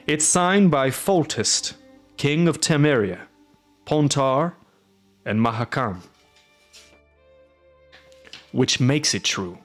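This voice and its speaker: A man voicing a loud, gruff middle-aged castellan who speaks emphatically with sharp rises. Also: medium pitch, expressive, slow, soft background music. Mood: blustering. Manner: exclaiming, emphatic, rising.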